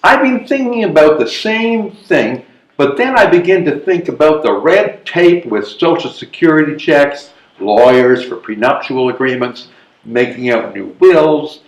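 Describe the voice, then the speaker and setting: A man's voice: fairly high pitch, lively intonation, measured pace. A man playing a hesitant, fretful elderly widower in a readers theatre comedy, protesting and questioning. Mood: nervous, questioning. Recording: echoey live room recording.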